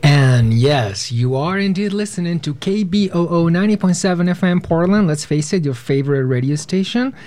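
A man's voice: on the high side, lively intonation, normal speed, hardly any pauses, a little mellow. Young man hosting live radio. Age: 20s. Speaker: radio host, panel moderator. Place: radio studio.

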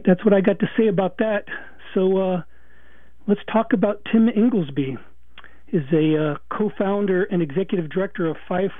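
A man hosting a radio interview, his voice sitting a bit higher than most men's. He talks conversationally and stumbles over restarts and fillers. The sound is dry and narrow, like a phone line. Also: no echo. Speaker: radio talk show host.

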